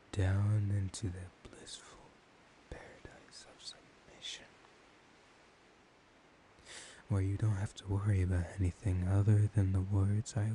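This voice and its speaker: A man acts out a seductive male vampire with a low, dark voice that stays level and controlled. He is slow, dominant and teasing, often sinking into a whisper as in an ASMR roleplay. A steady hiss sits behind the voice. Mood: teasing, commanding. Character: dominant, seductive male vampire hypnotizing his captive lover. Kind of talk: soft-spoken ASMR roleplay.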